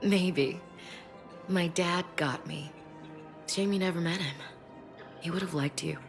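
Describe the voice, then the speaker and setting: A woman's voice: lowish voice, slow, creaky. An actress playing a tough, wry female soldier in a video game, talking informally to her commander. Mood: wistful, fond. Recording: background music.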